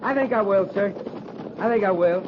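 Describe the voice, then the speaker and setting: A man's voice: high-pitched, moderate pace, natural voice. Guy doing a folksy old-hand cavalry sergeant, american, plainspoken, loyal, dull hissy 1950s radio sound. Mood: wry. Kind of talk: 1950s radio drama acting. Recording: muffled, noisy 1950s radio recording.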